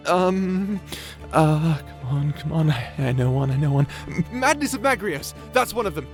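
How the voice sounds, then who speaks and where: Shy young male wizard acted by a man, high voice, nerdy, stammering, eagerly polite, fantasy roleplay, music behind. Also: expressive, moderate pace, close to an everyday voice. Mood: flustered, apologetic. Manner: apologetic, bashful, awkward. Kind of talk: fantasy audio roleplay acting.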